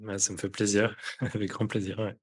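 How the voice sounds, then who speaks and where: Male podcast host, low voice, casual delivery, hesitant phrasing with frequent searching for words. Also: little pitch movement, normal speed, slightly rough. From France (Nantes). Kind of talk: casual conversational podcast talk.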